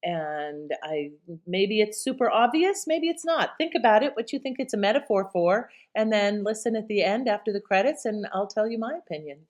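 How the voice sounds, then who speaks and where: Female host, average pitch, casual commentary, speaking to listeners. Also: animated, moderate pace, hardly any pauses. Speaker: host introducing a radio show.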